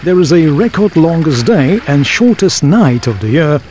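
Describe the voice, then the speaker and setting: Man acting lively, formal tour guide, higher-pitched. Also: moderate pace, a little hiss or hum. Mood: informative, obliging. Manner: informative, formal, presentational. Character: knowledgeable, obliging male local tour guide. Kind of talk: scripted audio guide dialogue.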